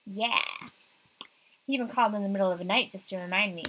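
A woman's voice: mid-range voice, quick pace, background noise, lightly acted. Woman playing a casual, teasing young man. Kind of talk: visual novel character voicing.

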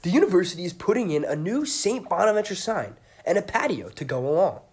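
A man's voice: high-pitched, sing-song, fast talker, darkish tone, husky. A young adult man with an American accent reading scripted narration as a student TV news reporter.

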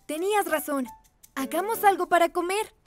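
Squeaky, fussy little owl sidekick. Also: female voice, moderate pace, music in the background. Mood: cautious. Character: small magical owl sidekick, cautious and fussy. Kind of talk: children's cartoon dub acting.

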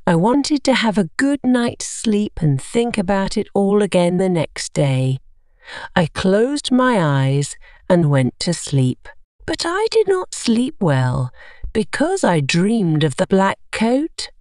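A woman reading a scripted story for English learners, carefully and with clear enunciation. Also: mid-range voice, big pitch swings, slow pace, darkish tone, no room sound, clean studio sound. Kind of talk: scripted audiobook narration.

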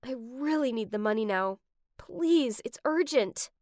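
A woman's voice: on the high side, animated, unhurried, darkish tone, no room sound, studio quality. A woman voicing an emotional, impulsive teenage girl in love, urgent and pleading, in a dramatic story.

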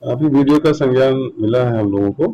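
Mellow man in dull lo-fi audio. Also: medium pitch, fairly quick. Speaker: district administration official. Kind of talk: official statement. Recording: dull lo-fi audio with faint noise.

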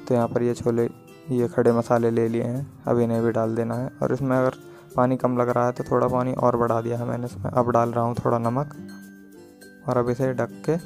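Man with very flat pitch, cooking tutorial. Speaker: home cook presenting a recipe. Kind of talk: step-by-step cooking tutorial voice-over.

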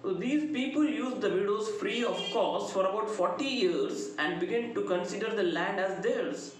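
A middle-aged man with an Indian accent giving a literature lecture, deliberate and emphatic, phrase by phrase. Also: high voice. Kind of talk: lecture summarizing a play. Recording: very echoey room, faint background noise.

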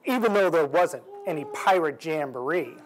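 A man's voice: fairly high pitch, lively intonation, moderate pace, close to an everyday voice. A man voicing a childlike, emotional male monster in a storybook, speaking emphatically. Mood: contented. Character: childlike male birthday monster, excitable, easily sulky. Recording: clear background noise in room.

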